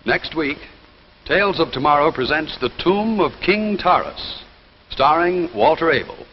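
A man's voice: on the high side, expressive, unhurried. A man working as an announcer, reading scripted 1950s television copy. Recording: muffled, noisy 1950s television soundtrack.

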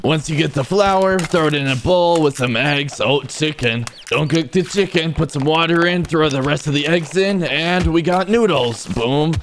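A man's voice: a little high, normal speed, few pauses, slight background noise, natural voice. A man voicing an eager, bossy young stick-figure chef in a cartoon fan dub, talking in short casual instructions. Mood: confident, instructive.

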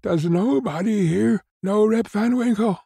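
A man's voice: sits high, measured pace, dark tone, slightly rough, no echo, clean studio sound, voice pushed up, heavily acted. A man voicing a bewildered, despairing, ragged old man with faltering, plaintive exclamations in a storybook reading.

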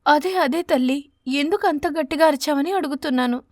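Casting: patient, struggling mother sparrow for a cartoon tale, high-pitched and soothing , done by a woman. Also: brisk, darkish tone, no room sound, clean studio sound, natural voice. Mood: concerned. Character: poor, careworn mother sparrow, patient and loving. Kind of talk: animated bedtime story character.

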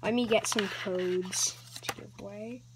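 A woman hosting a casual card-opening vlog, talking in a hesitant, rambling way with many ums and restarts, sometimes dropping to a soft whisper. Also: medium pitch, steady tone, unhurried, background noise. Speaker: channel host.

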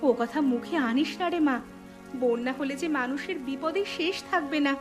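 A very high-pitched village mother frets and laments in a cartoon, voiced by a woman. She talks in anxious scolding tones. Music plays clearly underneath. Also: normal speed, lightly acted. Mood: fretful. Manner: fretful, lamenting. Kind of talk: cartoon voice acting.

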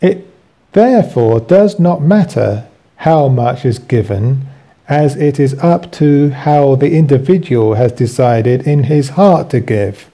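Slow-reading man with a very dark, mellow voice. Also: medium pitch, lively intonation. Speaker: Bible teacher. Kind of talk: scripted religious teaching, read aloud.

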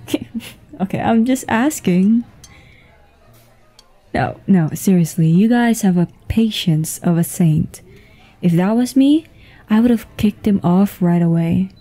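A woman playing a flirtatious, easygoing businesswoman on a date, in a casual, intimate ASMR roleplay with a soft voice. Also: medium pitch, lightly acted. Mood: amused, teasing. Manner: unhurried, giggly, casual. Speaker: audio roleplay voice actor. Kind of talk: intimate ASMR roleplay acting, one-sided conversation. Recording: music behind the voice.

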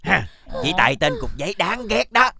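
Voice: mid-range voice, big pitch swings, moderate pace, gravelly, a little hiss or hum, full character voice. An actor voicing a vain, bossy, indignant schoolkid in a children's cartoon. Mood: fuming. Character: vain, hot-tempered show-off schoolkid, a singing star. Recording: loud music behind the voice.

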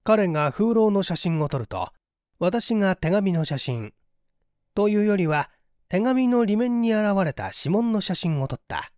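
A man's voice: high voice, sing-song, measured pace, darkish tone, dry recording, clean studio sound. A man reading a mystery story aloud as scripted literary narration, in a theatrical storytelling manner. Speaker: audiobook reader.

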